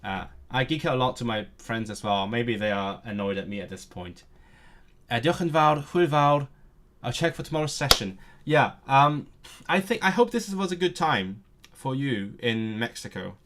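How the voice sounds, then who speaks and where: A man's voice: medium pitch, lively intonation, normal speed, fairly bright tone. Eager, stumbling male streamer. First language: Cantonese. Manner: eager and nerdy, often stumbling and restarting words. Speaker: streamer and language learner. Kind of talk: casual live-stream chat.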